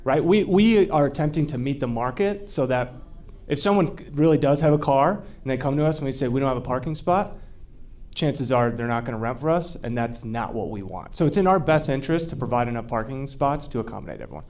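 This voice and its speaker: A man's voice: medium pitch, animated, a little fast, darkish tone. A man representing a developer politely answers residents at a public meeting in a conciliatory manner.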